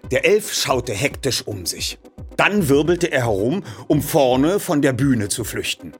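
A man reading a story aloud as a dramatic, scripted narration. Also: medium pitch. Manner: animated storytelling with acted dialogue. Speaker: audiobook narrator. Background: music accompaniment.

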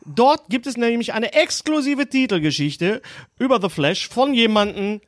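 A man's voice: very high voice, lively intonation, normal speed, no room sound, clean studio sound. A man hosting a casual film podcast, chatting in a jokey, digressive way and often restarting his phrases. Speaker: podcast host. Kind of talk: casual banter and film chat.

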